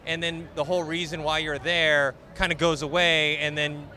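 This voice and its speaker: A man's voice: on the high side, flat delivery, moderate pace, bright, forceful tone. A man co-hosting a casual movie chat and reading viewer questions, hesitant and restarting his sentences often. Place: outdoors at a busy convention.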